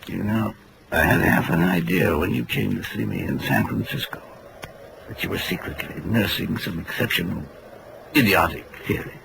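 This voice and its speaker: An actor playing a badly injured, calculating villain, articulate, condescending and labored, with a gravelly voice, in a 1940s radio drama on a noisy old recording. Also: male voice, sits low, animated, normal speed, a bit bright, exaggerated voice. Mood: smug, strained.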